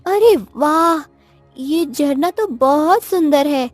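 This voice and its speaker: An eager young village wife played by a woman storyteller in a cartoon tale. Her voice is pitched high and full of surprised questions and exclamations. Music plays softly behind the dry recording. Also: takes it slowly, no echo. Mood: amazed. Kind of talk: cartoon moral story acting.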